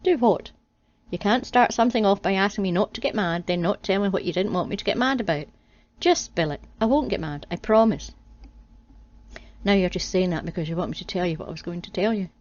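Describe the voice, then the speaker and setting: A woman's voice: medium pitch, a little mellow, natural voice. Woman doing Eve, a pushy, demanding first wife. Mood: insistent. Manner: demanding, quick to exclaim. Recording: dull lo-fi recording, dry.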